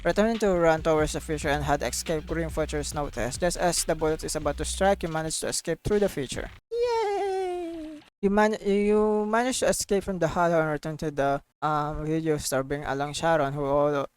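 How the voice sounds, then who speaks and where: A woman streaming a story game, reading out dialogue and reacting in an informal, expressive way. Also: low voice, normal speed. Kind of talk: casual let's play, reading dialogue and reacting. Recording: faint game music behind.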